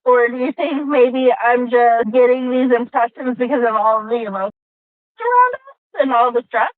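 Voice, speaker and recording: A woman's voice: fairly high pitch, expressive, normal speed, dry recording, close to an everyday voice. A woman playing a naive, curious mechanical being in a tabletop game, hesitant and full of wonder. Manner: hesitant, wondering, peppered with questions. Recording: muffled tinny phone-like audio from a car.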